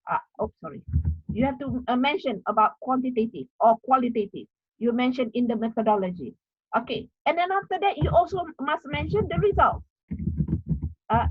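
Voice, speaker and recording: A woman's voice: fairly high pitch, husky, no echo, highs cut off. A middle-aged woman from Malaysia with a Malaysian English accent, giving an academic talk on article writing, repeating herself with many fillers. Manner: repetitive, explanatory, many fillers. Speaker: university lecturer.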